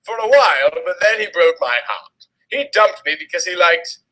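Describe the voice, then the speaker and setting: A man's voice: high-pitched, big pitch swings, moderate pace, bright, forceful tone, poor audio. A man giving a theatrical, exaggerated and melodramatic dramatic reading of a story. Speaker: dramatic reader of fan fiction.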